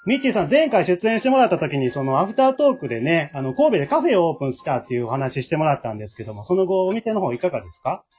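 Guy hosting a podcast, chatting fast, muffled lo-fi audio. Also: on the high side, very animated, darkish tone, soft background music. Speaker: host.

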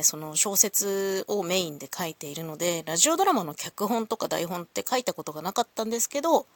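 A woman's voice: fairly low pitch, dry recording. A woman hosting a solo audio show, talking casually to listeners in a polite, reflective, explanatory way.

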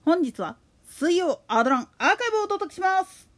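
High-pitched, lively, chatty woman. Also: a little fast, noisy background. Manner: colloquial, slangy, chatty. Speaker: solo podcast host. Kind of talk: casual monologue about a radio announcer.

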